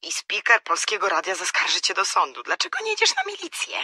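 A woman's voice: mid-range voice, huge pitch swings, fast, sharp tone, slightly rough, no echo, heavily acted. A woman playing an inquisitive, practical friend in a novel. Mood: curious.

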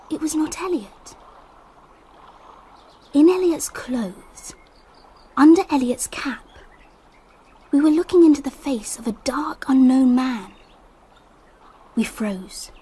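A woman playing a thoughtful young girl, measured and earnest as she tells a radio drama story. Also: high voice, animated, dark tone, breathy edge, full character voice. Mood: reflective. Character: thoughtful young English girl recounting a summer. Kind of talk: radio drama narration and acting. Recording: faint background noise.

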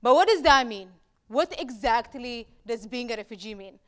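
A young woman from Somalia delivers a prepared talk from a dark auditorium stage. Her voice sits high, and she leans on repeated phrases with measured pauses between them. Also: lively intonation. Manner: rhetorical repetition, deliberate pauses. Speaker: speaker at a campus talk event. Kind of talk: prepared public speech.

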